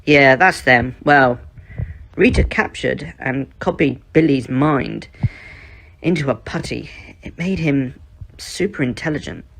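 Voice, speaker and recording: A man's voice: mid-range voice, a bit bright. A man voicing a young woman, an eager, matter-of-fact survivor in an amateur fan fiction story.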